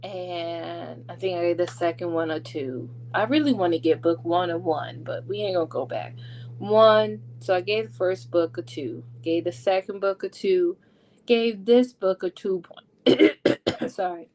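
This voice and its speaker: Slow, sassy woman chatting. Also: lowish voice, a little mellow, slight background noise. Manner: sassy and playful, slangy, acting out characters' lines. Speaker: book vlogger hosting a readalong discussion. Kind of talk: casual, chatty book recap.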